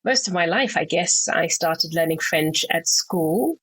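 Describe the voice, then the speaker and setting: A woman from Zimbabwe speaking as a podcast guest, answering in a measured, composed way over a remote call. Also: lowish voice, animated, slightly rough. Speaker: podcast guest, actuary and coach. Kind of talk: relaxed interview answers.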